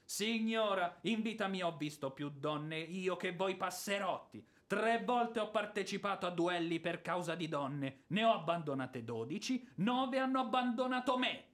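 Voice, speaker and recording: An actor playing a boorish, hot-tempered ex-officer creditor in a radio drama, sarcastic and theatrical, moving between muttering and bluster. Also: male voice, high-pitched, lively intonation, measured pace, hardly any pauses, a bit bright. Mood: ranting, theatrical. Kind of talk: radio drama stage acting.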